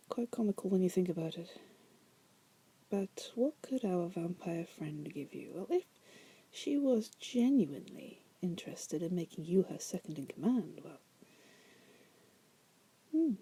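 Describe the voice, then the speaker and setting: A woman's voice: on the low side, lively intonation, measured pace, long pauses, slightly rough, full character voice. A woman playing a wry, seductive demon prisoner in an ASMR roleplay, often whispering, with a teasing, musing manner. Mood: dry, amused. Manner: teasing, musing, drawling asides with soft chuckles. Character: wry, seductive female demon prisoner, patient deal-maker.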